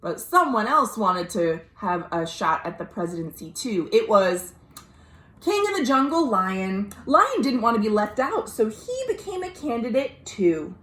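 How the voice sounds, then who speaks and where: An American woman reading a children's story aloud, expressive and dramatic, pausing to explain things simply. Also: on the high side. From United States. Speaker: librarian, storytime host. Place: at home. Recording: roomy, echoey home recording.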